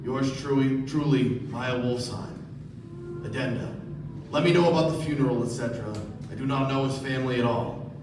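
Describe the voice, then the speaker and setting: A man's voice: medium pitch, moderate pace, lightly acted. A man playing a slippery, self-important older underworld businessman in a live radio play, with emphatic, colloquial delivery. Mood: formal, evasive. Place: live stage performance. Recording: echoey distant stage, background noise.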